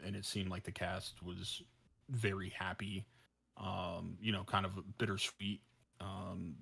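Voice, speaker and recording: A man with a bright, somewhat low voice talks as a podcast co-host. His delivery is casual and rambling, circling back over words as he works out his thought. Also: creaky, breathy edge.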